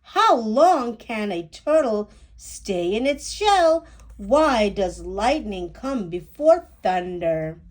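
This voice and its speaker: A woman's voice: medium pitch, big pitch swings, slow. A woman voicing a curious little girl bubbling with questions, in an inquisitive, emphatic picture book read-aloud. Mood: curious, eager.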